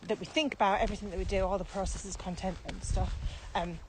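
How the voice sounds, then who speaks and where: British woman, podcast expert. Emphatic, conversational explainer, mid-pitched, some ambient noise. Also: steady tone, fast, poor audio. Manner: emphatic, repeats key phrases, poses rhetorical questions. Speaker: podcast co-host and virtual training expert.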